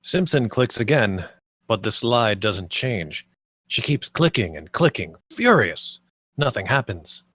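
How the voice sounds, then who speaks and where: A man's voice: fairly low pitch, big pitch swings, a little slow, a bit bright, breathy edge, no room sound. A man reading stage directions as the narrator of a script table read.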